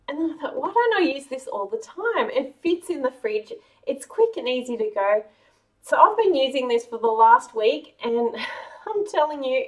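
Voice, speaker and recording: Aussie lady chatting cheerfully in her kitchen, kinda high voice, bit far from the mic. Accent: Australian. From Australia. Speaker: cooking channel host. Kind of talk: casual kitchen vlog. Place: large home kitchen. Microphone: camera a few meters away.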